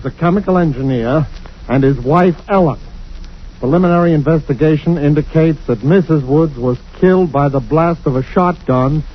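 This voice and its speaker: A man's voice: on the high side, close to an everyday voice. An actor playing an earnest man relaying an eerie story, in old-time radio drama style. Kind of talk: 1940s radio drama acting. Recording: dull lo-fi old radio recording.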